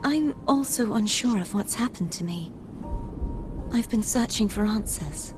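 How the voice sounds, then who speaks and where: An actress voicing a gentle, mysterious young maiden in a video game, speaking in polite, measured, formal phrases. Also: female voice, mid-range voice, lively intonation, natural voice. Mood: searching, puzzled. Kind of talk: scripted video game voice acting. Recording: music under the voice.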